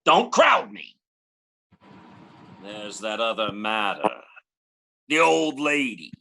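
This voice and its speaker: A man playing a bossy, threatening gangster boss in an old-time radio detective play, with slangy, drawn-out delivery. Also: fairly low pitch, big pitch swings, gravelly, sharp tone, exaggerated voice. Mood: menacing.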